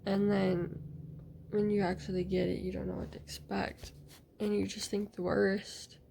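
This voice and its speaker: Gravelly, mellow woman rambling softly. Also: mid-range voice, flat delivery, normal speed, a little hiss or hum. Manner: hesitant, rambling, many fillers. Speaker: vlogger. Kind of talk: casual video diary.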